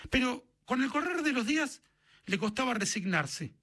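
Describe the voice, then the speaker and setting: An Argentine man narrates a literary short story. His voice sits high for a man and has a bright, forward edge. The delivery is scripted storytelling, read as prose. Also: big pitch swings, moderate pace, slightly rough.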